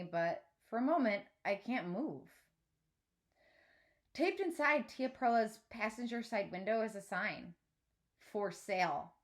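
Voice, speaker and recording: A woman narrating a story aloud in a measured, expressive read-aloud style, like a teacher reading to pupils. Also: fairly high pitch.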